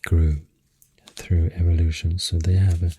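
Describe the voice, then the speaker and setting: A man with a Swedish accent doing soft-spoken, often whispery ASMR, explaining things in an unhurried, hesitant ramble close to the microphone. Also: low voice, flat delivery, breathy edge, no echo. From Sweden. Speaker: ASMR creator. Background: pencil writing and clicking sounds.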